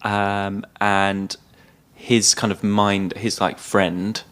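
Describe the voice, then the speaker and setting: A man's voice: on the low side, flat delivery, extremely slow, a bit bright, smooth. A man co-hosting a podcast, casually retelling a film's plot in a hesitant, loosely conversational way. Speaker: podcast co-host.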